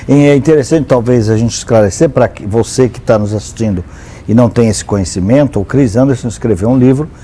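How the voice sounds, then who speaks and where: A man's voice: medium pitch, fast talker, warm tone, a little hiss or hum. A man hosting an interview show, introducing the topic and asking questions in an engaged way. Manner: engaged, with brief backchannel affirmations.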